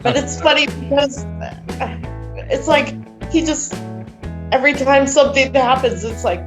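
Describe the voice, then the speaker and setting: A woman's voice: high voice, measured pace, music in the background. A woman with a Californian American accent, talking casually and informally as an interview guest. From Sierra County, California, United States. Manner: informal, laughs easily. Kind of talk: casual conversation.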